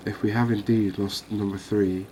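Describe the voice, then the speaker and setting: Low, even-voiced man, relaxed game commentary. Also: normal speed, slight background noise, low-quality recording. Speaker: host of a cozy fishing game channel. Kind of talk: relaxed ASMR-style gameplay commentary.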